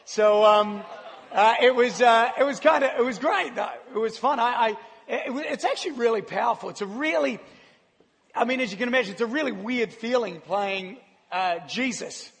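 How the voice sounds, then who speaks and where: A middle-aged man with a croaky voice, speaking as a guest preacher in a chatty, self-deprecating way with frequent laughs. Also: extremely high pitch, moderate pace, a bit bright. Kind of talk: casual, joking church talk. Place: large church hall. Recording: live church hall with long reverb.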